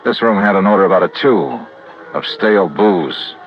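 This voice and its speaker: Animated hard-boiled radio detective, low-voiced. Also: male voice, normal speed, soft background music. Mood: wry narration. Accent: American. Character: hard-boiled male freelance investigator, agitated as a suspect, sharp-eyed. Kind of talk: 1950s radio drama acting and noir narration. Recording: muffled, noisy vintage radio recording.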